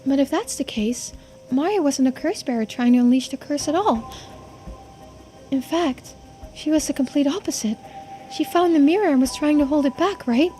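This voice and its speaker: Woman voicing a very high-pitched, excitable young islander. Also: moderate pace, soft background music, natural voice. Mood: puzzled. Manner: eager, questioning, exclamatory. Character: excitable, inquisitive young islander, easily astonished. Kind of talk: voiced video game dialogue playthrough.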